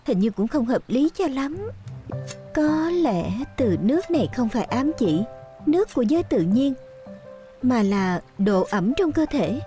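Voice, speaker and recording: A young heroine of an ancient costume drama. Her high voice swings expressively with a soft, mellow tone. She is pensive and playful, speaking as if thinking aloud. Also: sing-song, a little slow, faint music behind. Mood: musing. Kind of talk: Vietnamese dubbing of costume drama.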